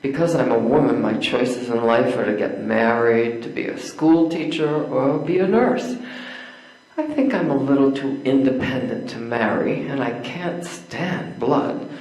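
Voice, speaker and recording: A frank, independent schoolteacher woman from a period stage play, read by an older man. The delivery is measured and encouraging, at a medium pitch. It sounds very echoey. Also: animated, darkish tone. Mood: reflective. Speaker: amateur readers theater performer. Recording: echoey room.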